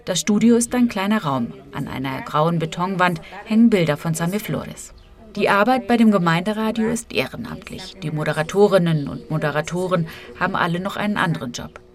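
A woman's voice: fairly low pitch, expressive, fairly quick. A woman reading a scripted radio feature as a correspondent, narrating and voicing translations of quotes.

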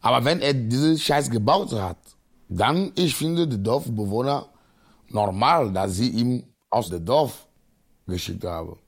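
A man who is not a native speaker, giving his opinion on a story in broken, halting phrases. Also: medium pitch, expressive. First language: not German. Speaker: participant commenting on a film story for a radio play. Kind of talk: spontaneous opinion.

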